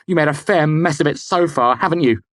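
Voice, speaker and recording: A man voicing a proud, hot-tempered young man in an audiobook, shouting biting words. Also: on the low side, level tone, takes it slowly, husky, no echo, studio quality, exaggerated voice.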